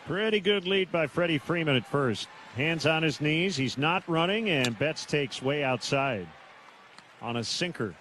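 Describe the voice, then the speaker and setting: A man doing live baseball play-by-play on the radio in measured, terse calls, with pauses between them. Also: fairly high pitch, lively intonation, fairly bright tone, slight background noise. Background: outdoor ballpark ambience.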